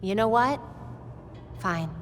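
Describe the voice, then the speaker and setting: A woman's voice: medium pitch, animated, takes it slowly, a little hiss or hum. An American actress playing a blunt, affectionate young female vigilante in naturalistic video game dialogue. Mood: teasing, exasperated. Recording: reverberant hall, music underneath.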